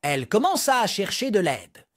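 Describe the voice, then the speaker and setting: A man narrating a scripted storybook for young children in an expressive read-aloud manner. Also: high-pitched, moderate pace, no room sound, studio quality.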